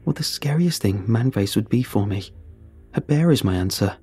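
A man voicing a calm, cryptic young scout. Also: on the low side, slightly rough, soft background music. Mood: earnest. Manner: calm, earnest, unhurried.

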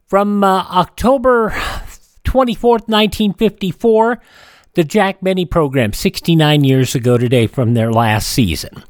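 A man hosting a classic radio program, presenting informally in a halting, measured manner. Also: high-pitched, no echo.

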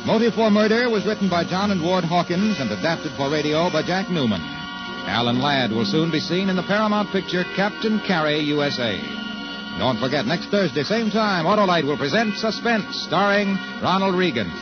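A man's voice: high voice, animated, normal speed, over music. An American man announcing on a 1950s radio show, with emphatic, polished, salesmanlike delivery. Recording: muffled old radio broadcast recording.

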